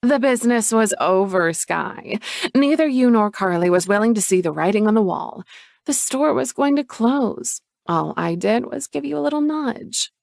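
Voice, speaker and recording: A woman's voice: a little high, lively intonation, normal speed, vocal fry, a little mellow, no room sound, clean studio sound, voice pushed up. A woman reading a novel aloud, voicing a scornful, defiant adult sister in a raised, sneering voice. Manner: sneering, dismissive.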